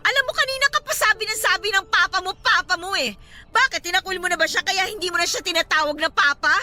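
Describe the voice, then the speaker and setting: A young Filipino mother in a radio drama, desperate and angry. Her voice is extremely high and piercingly bright. She speaks with heated, emphatic sarcasm over dramatic music. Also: fast, exaggerated voice. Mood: exasperated, angry. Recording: dramatic music bed behind the voice.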